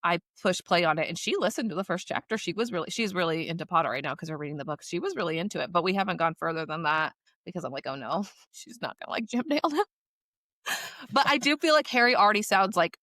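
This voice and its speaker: A woman co-hosting a podcast, chatting casually in an animated, chatty way. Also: lowish voice, quick pace, dry recording, studio quality. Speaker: podcast co-host.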